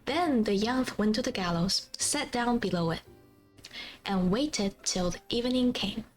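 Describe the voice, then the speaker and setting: A woman livestream host casually reading a fairy tale aloud, halting over old-fashioned words in a giggly, apologetic way. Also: medium pitch. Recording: faint background music. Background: soft background music.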